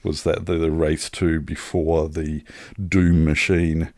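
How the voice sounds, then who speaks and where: Low-voiced man, chatting wryly. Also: flat delivery, takes it slowly, darkish tone, no room sound, studio quality. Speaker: podcast co-host reviewing films. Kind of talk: casual chat.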